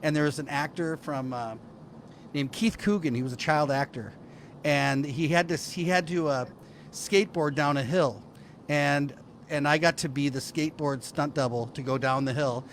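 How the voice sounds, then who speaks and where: A middle-aged American man with a slightly high voice, chatting like a comedian among friends. He has a playfully wounded manner, and there is light noise behind him. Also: normal speed. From Hawaii, United States. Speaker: comedian, panel show player. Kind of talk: casual comic storytelling among friends.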